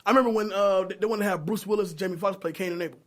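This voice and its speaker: A man's voice: high-pitched, racing. A man chatting casually as a podcast co-host, opinionated and free with swearing. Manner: opinionated, profane. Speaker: podcast co-host discussing movies. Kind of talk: casual chat among friends.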